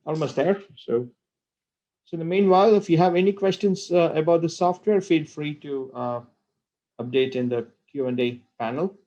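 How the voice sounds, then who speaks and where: A man presenting a software webinar on a computer call, talking with many fillers and repeated words. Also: on the high side, normal speed, highs cut off. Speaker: product presenter.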